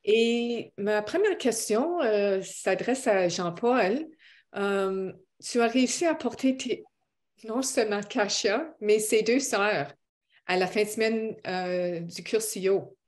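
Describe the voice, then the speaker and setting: A woman interviewing guests for a church broadcast, asking questions gently with hesitation fillers. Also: medium pitch, animated, slow pace.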